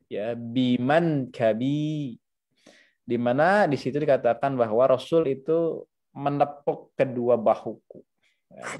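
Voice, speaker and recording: A man's voice: medium pitch, darkish tone. A man with an Indonesian accent giving an Islamic lecture, reading Arabic phrases and explaining them in a didactic, conversational way with repeated phrases. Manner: didactic, repeats phrases, conversational asides with frequent checking tags. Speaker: Islamic teacher.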